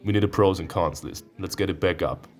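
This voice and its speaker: A man's voice: low voice, a little fast, a loud crowd, very noisy. A man hosting a gaming video in a playful, theatrical way, switching between reading visual novel text aloud and commenting on it. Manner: playful, theatrical, prone to sudden outbursts.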